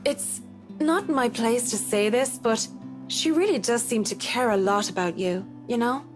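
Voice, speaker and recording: Woman acting frank, sardonic working woman, game character, slightly high pitch, faint music behind. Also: a little slow, natural voice. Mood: blunt, wry. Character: blunt, wry female worker unafraid of her boss. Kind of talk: visual novel voice acting.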